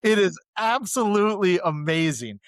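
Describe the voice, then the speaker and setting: A man's voice: high voice, sing-song, normal speed, dry recording, studio quality. A man co-hosting a casual film discussion podcast, talking emphatically and repeating phrases for stress. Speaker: podcast co-host.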